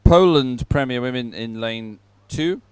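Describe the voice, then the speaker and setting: A man presenting live sports commentary, pausing as he goes and adding upbeat, sentimental asides. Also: mid-range voice, smooth, no echo. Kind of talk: live commentary on a dragon boat regatta.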